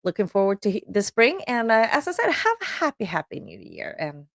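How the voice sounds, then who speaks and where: A middle-aged woman with a Swedish accent hosts a solo podcast announcement, chatty and upbeat with plenty of ums. Her pitch swings up and down animatedly, and the recording is close and dry with no room sound. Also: huge pitch swings, fairly quick, fairly bright tone, no echo. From Sweden. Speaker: podcast host.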